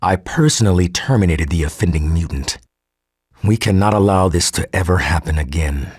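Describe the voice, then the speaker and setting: An actor playing a formal, articulate male geneticist recording an audio log for a game, measured and husky. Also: low-pitched, darkish tone, no room sound, clean studio sound. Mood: cold, resolute. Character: earnest male geneticist turning cold and militant.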